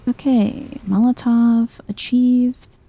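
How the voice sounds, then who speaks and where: A soft-spoken woman doing chill, playful ASMR game commentary, often close to a whisper. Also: a little high, little pitch movement, slow pace, no room sound, rough recording. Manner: chill, playful muttering to herself. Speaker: gaming video host.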